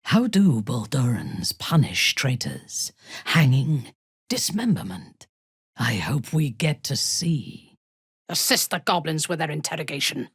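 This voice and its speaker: An actress playing a haughty, ruthless female drow elf in a fantasy video game, speaking in a low, husky, measured and coldly menacing voice. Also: very animated, no echo, clean studio sound, full character voice. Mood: dry menace, then solemn intensity. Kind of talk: scripted fantasy game voice acting.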